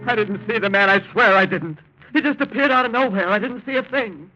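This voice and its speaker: A man's voice: sits high, fairly quick, close to an everyday voice. An actor playing a panicked man pleading innocence in a 1940s radio drama. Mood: frantic. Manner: frantic, pleading. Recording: muffled old radio broadcast with music.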